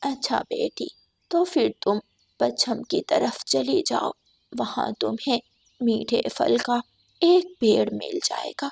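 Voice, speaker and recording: Casting: woman to play an elderly cartoon dove, soft, caring, unhurried. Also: on the high side, wildly swooping pitch, vocal fry, husky, dry recording, exaggerated voice. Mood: gentle, caring. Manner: gentle, soft-spoken, caring. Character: kindly old mother dove.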